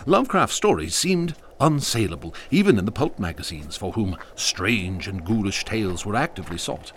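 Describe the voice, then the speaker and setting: A man's voice: fairly low pitch, very animated, normal speed, a little hiss or hum. A man narrating a radio drama in a wry, theatrical way. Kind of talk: scripted storybook narration.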